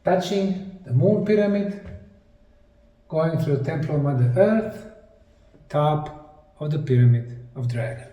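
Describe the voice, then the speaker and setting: A man with a Bosnian accent giving an explanatory presentation, speaking emphatically in short, dramatic phrases with pauses. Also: fairly high pitch, animated, mellow. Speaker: presenter, researcher. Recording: echoey room, distant microphone.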